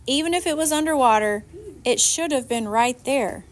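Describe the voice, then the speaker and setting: A woman narrating a children's novel aloud in an expressive storytelling style. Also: high voice, moderate pace. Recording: faint background noise.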